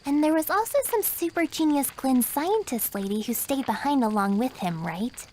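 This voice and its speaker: A woman voicing a bossy, energetic, inquisitive girl in an anime video game, brash and slangy. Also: high-pitched, moderate pace, a little hiss or hum. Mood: curious, teasing.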